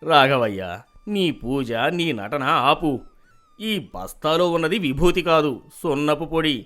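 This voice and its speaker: A stern, upright village headman in a cartoon moral tale. He speaks firmly and scolds and lectures, with lively rises and falls in his pitch. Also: a little slow, faint music behind. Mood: scolding. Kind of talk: animated cartoon voice acting.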